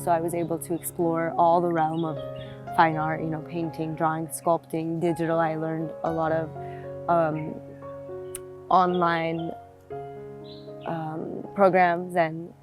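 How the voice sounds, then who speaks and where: A woman's voice: sits low, completely flat delivery, slow. A woman in her twenties from South Florida, reflectively telling her life story in an interview, with many fillers and restarts. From South Florida, United States. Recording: music playing behind the voice.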